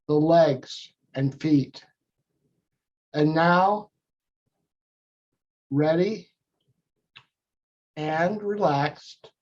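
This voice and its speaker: Male meditation guide, very unhurried, measured phrasing with long gaps, dull recording. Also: a little high, darkish tone. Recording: dull lo-fi audio.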